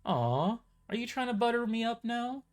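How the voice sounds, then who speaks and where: Sarcastic tough young waitress, mocking and lively, as a man voices her in a story reading. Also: extremely high pitch, moderate pace, voice pushed up.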